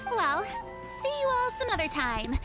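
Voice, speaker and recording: A woman voicing an excitable, naive and polite female adventurer in a video game, chirpy and expressive, over loud music. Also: very high-pitched, takes it slowly, full character voice. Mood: cheerful.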